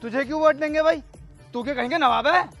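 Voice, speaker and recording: A very high voice from a male actor plays a scrappy village election candidate in broad comedy. He is cocky, combative and full of taunts, with faint music behind him. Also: fast talker, creaky, a bit bright, exaggerated voice. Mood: defiant. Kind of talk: broad comedy sketch acting. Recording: background music under dialogue.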